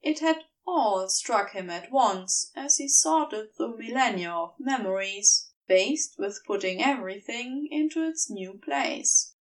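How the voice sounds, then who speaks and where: A woman narrates a story in a high, lively voice. She reads in a measured way, leaving deliberate pauses between phrases. She sits a little away from the microphone. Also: distant mic, poor audio. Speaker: podfic reader. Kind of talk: scripted fiction narration.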